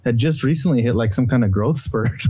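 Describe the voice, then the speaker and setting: A man's voice: medium pitch, a little fast, mellow, breathy edge. A young man chatting casually on a podcast, easygoing in how he talks. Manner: easygoing, laughs between remarks. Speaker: podcast co-host. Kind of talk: casual chat.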